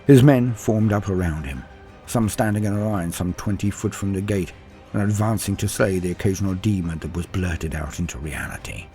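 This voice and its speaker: A man's voice: low voice, darkish tone. A man delivering dramatic scripted narration in a theatrical, grandiose manner as a lore host.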